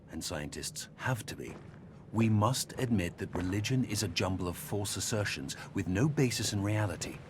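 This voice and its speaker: A man acting as an earnest, measured debater in a game audio log, speaking deliberately and articulately. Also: natural voice. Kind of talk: naturalistic game audio log dialogue and reading. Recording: steady rumbling background noise.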